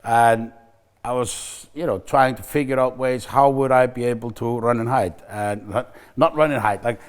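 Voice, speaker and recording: A man's voice: mid-range voice, steady tone, moderate pace. Icelandic man speaking candidly. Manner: candid, reflective, with frequent restarts and fillers. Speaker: recovery center staff member. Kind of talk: personal talk to camera.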